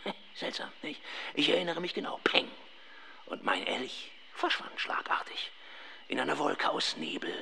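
A man playing a pompous, self-satisfied professor of ghost research in a children's radio play, husky and halting as he savors his own account. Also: fairly high pitch, very animated, bright, forceful tone, slight background noise, heavily acted. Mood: proudly reminiscing.

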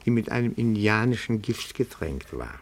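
Nervous fawning amateur detective, radio play, husky and creaky, very formal and fussy. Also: male voice, lowish voice, lively intonation, moderate pace. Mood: earnest, self-assured. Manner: formal, deferential, meticulous, hesitant. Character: timid, pedantic, fawning petty-bourgeois amateur detective. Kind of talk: theatrical radio play acting.

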